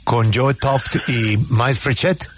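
A man's voice: mid-range voice, slow pace, slight background noise. A Colombian man hosting a radio interview, introducing his guest and putting direct questions to him. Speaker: radio host.

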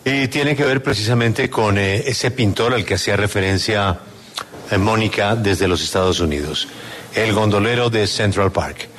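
A man's voice: lowish voice, normal speed, fairly bright tone, slight background noise. A man hosting a radio news show, formal and polite as he interviews a guest. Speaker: radio host.

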